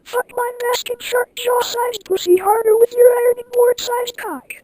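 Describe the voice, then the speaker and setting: A man puts on a shrill ogre wife in a comic story. The voice is extremely high, dramatic and overwrought, with swearing exclamations and a dry, close sound. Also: fairly even intonation, moderate pace, a little mellow, no room sound, low-quality recording. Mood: lustful shouting.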